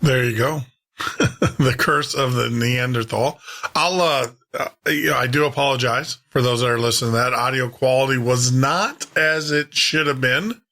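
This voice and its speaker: A man's voice: medium pitch, normal speed, bright, forceful tone. A man hosting a show, chatting to listeners in an informal, rambling way. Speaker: podcast host.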